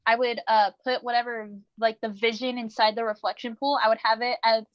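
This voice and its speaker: A woman, an actor chatting casually and haltingly, with a giggly manner, on a video call. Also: fairly high pitch, little pitch movement, no room sound, lo-fi sound. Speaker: actor in a cast Q and A. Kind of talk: casual chat.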